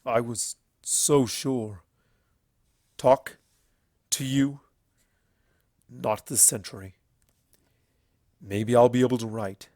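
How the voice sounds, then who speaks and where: A man voicing a troubled, bitter and weary stallion in a fantasy story, speaking in a halting, heavy, brooding way. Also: medium pitch, steady tone, warm tone, dry recording, clean studio sound, close to an everyday voice. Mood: pained, bitter. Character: troubled, guilt-ridden old stallion alicorn, bitter and weary.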